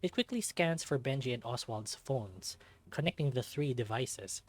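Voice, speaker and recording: A man reading a visual novel aloud as expressive first-person scripted narration. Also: mid-range voice, moderate pace.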